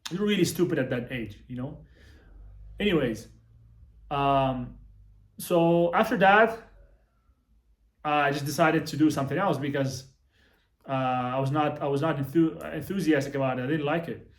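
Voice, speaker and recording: A man's voice: a little high, normal speed, pauses often. A young man from Morocco telling his life story casually to the camera, repeating himself and swearing now and then. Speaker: vlogger, coach. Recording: echoey room.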